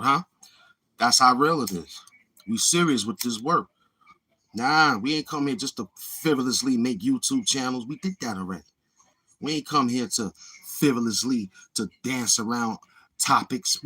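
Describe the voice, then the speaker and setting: A man's voice: mid-range voice, bright, forceful tone, breathy edge. A man hosting his own channel in a boastful, taunting livestream monologue, swaggering and repeating himself. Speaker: YouTube channel host.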